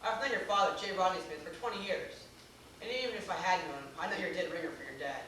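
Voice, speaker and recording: Guy playing wry formal dad in a stage comedy, talks quick, very echoey hall. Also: high voice, sharp tone. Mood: jovial. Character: dry, courtly middle-aged father, wry and jovial. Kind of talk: stage acting in a one-act comedy. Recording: echoey theater, distant camera, faint hiss.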